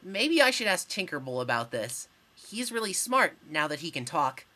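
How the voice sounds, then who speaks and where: A woman performs a meek young troll boy in fandub style, low in pitch but bright in tone. He speaks haltingly and politely, unsure of himself and quick to trail off. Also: sing-song, exaggerated voice. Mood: meekly defiant. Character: timid, meek, hesitant young male troll, politely apologetic. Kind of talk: amateur fandub audition acting.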